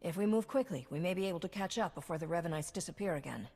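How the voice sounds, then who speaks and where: A woman's voice: fairly low pitch, lively intonation, very fast, darkish tone, husky. An actress voicing a determined woman hero in a video game, who talks in a direct, firm way.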